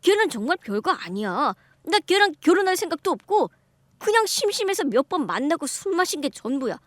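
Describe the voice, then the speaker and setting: A woman's voice: high voice, sing-song, brisk, no room sound, clean studio sound, voice pushed up. Woman playing an excuse-making cheating husband. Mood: pleading. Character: evasive cheating young husband, whiny.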